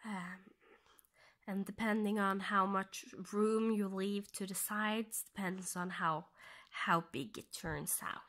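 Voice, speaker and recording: A woman from Norway with a Norwegian accent, speaking English as a second language, explaining her cross-stitching in a soft, half-whispered way, pausing as she thinks aloud. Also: mid-range voice, steady tone. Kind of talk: casual stitch-along tutorial.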